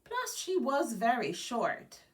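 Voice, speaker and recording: A woman reading a children's picture book aloud, phrasing deliberately and stressing key words. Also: on the high side, huge pitch swings, far from the mic. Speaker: guest storybook reader.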